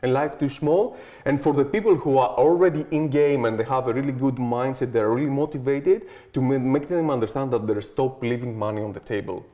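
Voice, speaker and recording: A man's voice: mid-range voice, a little fast, dark tone. Confident Greek-accented man. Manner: eager, confident, keeps adding "okay" and "like". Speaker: interview guest. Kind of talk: casual interview talk.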